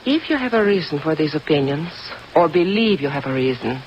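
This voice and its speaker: An actress playing a haughty, evasive countess, formal and icily composed, in 1950s radio drama style. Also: female voice, sits low, sing-song, fairly quick. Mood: haughty, indignant. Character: haughty, evasive European countess under suspicion. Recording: muffled old radio recording under rain noise. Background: rain.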